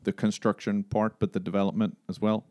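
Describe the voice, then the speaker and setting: A man's voice: fairly low pitch, flat delivery, fairly quick, a little mellow, no echo, muffled recording. A man from Sweden with a Swedish accent, a native Swedish speaker, asking questions casually as an interview host.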